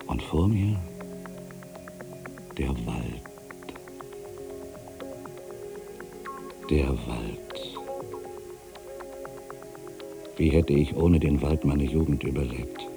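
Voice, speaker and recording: A man playing an ageing, solitary writer who broods on his past in a deliberate, reflective literary monologue. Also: extremely low pitch, creaky, dark tone, exaggerated voice. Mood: restless, reflective. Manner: brooding, deliberate, with pensive pauses. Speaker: monologue performer. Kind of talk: literary dramatic monologue, reflective first-person narration.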